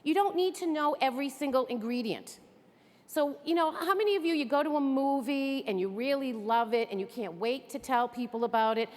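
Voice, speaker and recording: A woman's voice: sits high, animated, fairly quick, darkish tone. A woman from the area, giving an upbeat, chatty training talk to an audience. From Greater Boston area. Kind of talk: enthusiastic stage presentation. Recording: echoey hall.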